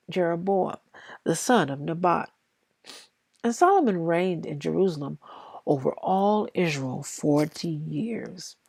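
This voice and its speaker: A woman reading scripture aloud in a deliberate, halting way, phrase by phrase. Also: fairly low pitch, gravelly, a little mellow, dry recording. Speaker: scripture reader.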